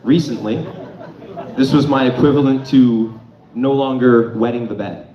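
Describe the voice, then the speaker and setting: A man's voice: mid-range voice, fairly even intonation, unhurried, slight background noise. A man doing a self-deprecating stand-up set in a comedy club, rambling and stopping to correct himself. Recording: distant, echoey lo-fi club with crowd.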